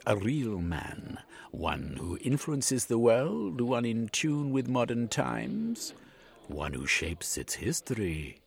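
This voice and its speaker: A man's voice: lowish voice, creaky, heavily acted. A man voicing a scheming, scornful male foreign spy villain who threatens and sneers.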